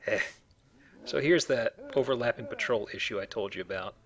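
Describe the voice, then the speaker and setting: A man's voice: mid-range voice, fairly quick, a bit bright. A man hosting a casual let's play, chatting as he plays a video game. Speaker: video game player and host. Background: video game sounds.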